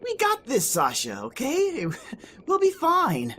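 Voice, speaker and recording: A woman's voice: sits high, huge pitch swings, slow, fairly bright tone, slight background noise, exaggerated voice. A woman acting an easygoing, friendly young survivor kid who talks casual slang in an audio drama. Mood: reassuring.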